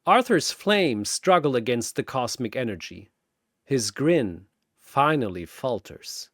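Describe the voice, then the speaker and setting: Guy doing dramatic battle story narration, takes his time, big swings in pitch, theatrical punchy phrases. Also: big pitch swings, takes it slowly, a bit bright, no room sound, clean studio sound.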